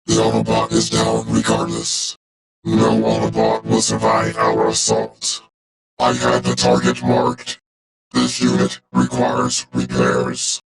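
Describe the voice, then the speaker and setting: Menacing robot soldier for a game, super gravelly n low, deadpan, vocoder on the voice. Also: male voice, full character voice, rough recording. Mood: coldly calculating. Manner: deadpan, clipped, formal delivery. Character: cold, loyal male robot warrior, menacing and formal. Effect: robotic vocoder filter. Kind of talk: video game voice acting.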